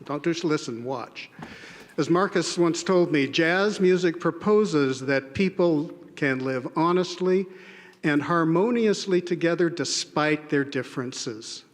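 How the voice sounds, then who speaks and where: A man delivers a prepared address with slow, earnest phrasing. His voice sits fairly high. Long reverb from a big indoor arena trails every word. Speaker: English professor giving a spoken-word address. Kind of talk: prepared speech to a large audience.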